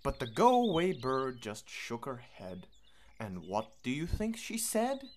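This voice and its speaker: A woman reading a children's storybook aloud expressively, in a measured singsong. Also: extremely low pitch, wildly swooping pitch, slight background noise. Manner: measured, singsong rhyming delivery. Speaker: children's book reader.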